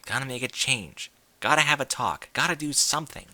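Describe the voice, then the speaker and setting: A man's voice: mid-range voice, lively intonation, brisk, pushed and bright, dry recording. A man voicing a brash, cocky young female pegasus pony in a story, talking in a blunt, slangy way. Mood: self-urging. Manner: blunt, slangy, casual delivery.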